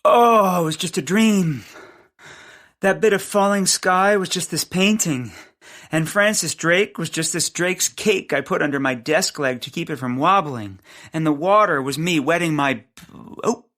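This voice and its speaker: An actor playing an excitable, bumbling detective in a comic audio drama, stammering, rambling and exclaiming. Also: medium pitch, a little slow, lightly acted. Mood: puzzled musing. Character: excitable, bumbling detective Eugene, easily overjoyed.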